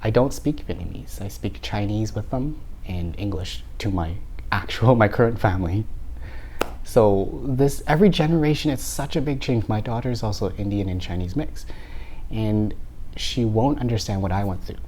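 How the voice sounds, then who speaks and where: A man in his thirties from Canada speaks in a relaxed, reflective interview about his art. His voice sits low and warm, and he often restarts words as he gathers his thoughts. A faint hum of background noise sits under the recording. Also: moderate pace. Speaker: artist being interviewed.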